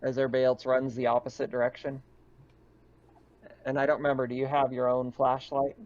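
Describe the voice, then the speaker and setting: A man's voice: mid-range voice, monotone, fairly quick, a little mellow, muffled recording. A man running a tabletop roleplaying game as game master, narrating to players in second person in a conversational way.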